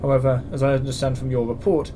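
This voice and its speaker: A man's voice: mid-range voice, flat delivery, quick pace, natural voice. A man playing a stern, exasperated military commandant in a science-fiction audio drama, speaking with curt authority. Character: stern, exasperated male space station commandant. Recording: clear background ambience noise.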